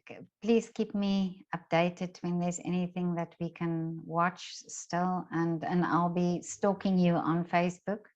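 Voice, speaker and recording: A middle-aged woman with a fairly low voice chats as an interview host. She is effusive and conversational. The recording is slightly dull and muffled. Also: flat delivery, measured pace, darkish tone. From lives in Vienna, Austria. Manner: chatty, effusive, frequent agreeing interjections.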